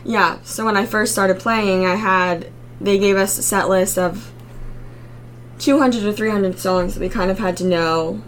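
A young woman with a raspy voice, a singer-songwriter guest chatting casually in an interview with frequent ums. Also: mid-range voice, fairly even intonation, a little slow, slight background noise. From Maryland, United States.

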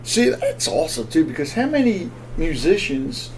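A man's voice: fairly high pitch, sing-song, moderate pace, a little hiss or hum, poor audio. A man hosting a casual interview, enthusiastic, often laughing and affirming his guest. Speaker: podcast host.

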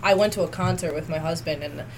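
A woman's voice: sits low, fast, slight background noise, rough recording. A woman in her twenties, a podcast guest, chatting casually and laughing easily. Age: 20s. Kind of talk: casual chat.

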